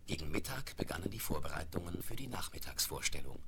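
A man playing the expressive narrator of a children's radio play, on a noisy old vinyl recording. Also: low voice, quick pace. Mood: wry, storytelling. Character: expressive male storyteller of a children's tale. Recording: noisy, crackly 1970s vinyl rip.

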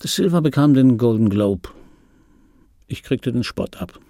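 An actor playing a middle-aged film dubbing actor in a radio play, reminiscing in a wry, pedantic, digressive way with a gravelly, husky voice. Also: male voice, medium pitch, lively intonation, a little slow, dark tone, dry recording, studio quality. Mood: aggrieved, wry. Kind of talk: radio play monologue, confiding first-person reminiscence. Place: dry radio drama studio.

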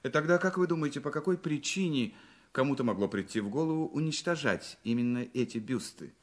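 A man acting a courteous, probing, confident detective in a Russian audio play, questioning politely and deliberately. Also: medium pitch, expressive, close to an everyday voice. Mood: polite, inquisitive.

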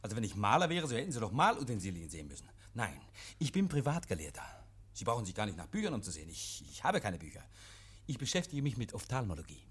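Defensive fussy scholar, animated intonation, slightly rough voice, agitated over-politeness, vintage radio play. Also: male voice, fairly low pitch, fast talker, full character voice. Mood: testy, scornful. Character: nervous, evasive male private scholar hosting policemen, defensive and testy. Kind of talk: 1950s German radio play acting. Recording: vintage 1951 radio drama recording.

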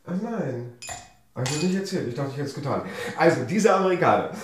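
An actor playing an evasive, sweet-talking young husband in a radio drama, with a smooth, cajoling manner and speech. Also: male voice, on the high side, expressive, fast, recorded from a distance, close to an everyday voice. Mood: excited, affectionate. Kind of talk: German radio drama acting. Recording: echoey room, off mic, faint noise.

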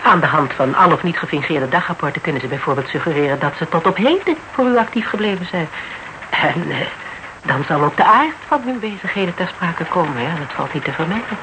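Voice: mid-range voice, expressive, a little fast, lightly acted. An actor in a radio play playing a formal, deferential yet probing legal adviser who speaks carefully and with hesitations. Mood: politely insistent. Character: polite, probing legal adviser, tactfully insistent. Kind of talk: Dutch radio drama acting, dialogue. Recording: noisy old radio broadcast recording.

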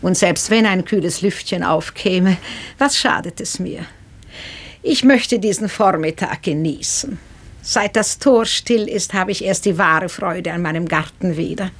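An actress playing a proud, pious old mistress of a house in a radio play, musing theatrically and easily aggrieved. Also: female voice, fairly low pitch, a little slow, dry recording. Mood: serene, contented. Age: older. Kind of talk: 1960s German radio play acting.

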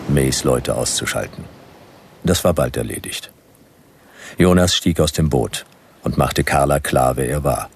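An actor playing a hard-boiled detective who narrates his own story in clipped, deadpan noir radio drama style, with a gravelly voice. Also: a bass voice, slight background noise. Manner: deadpan, dry.

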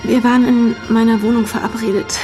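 A young actress playing an emotional, defensive young lover being questioned in a German radio crime drama, often hesitating. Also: female voice, a little high, level tone, fairly quick, music in the background, lightly acted. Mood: uneasy. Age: young adult. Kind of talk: German radio play acting.

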